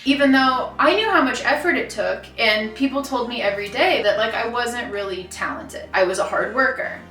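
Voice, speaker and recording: Woman vlogger, deep yet bright voice, earnest and reflective, echoey room, faint music under. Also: moderate pace, distant mic. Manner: earnest, reflective, many "like" fillers. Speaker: sewing vlogger. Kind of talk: casual personal storytelling to camera.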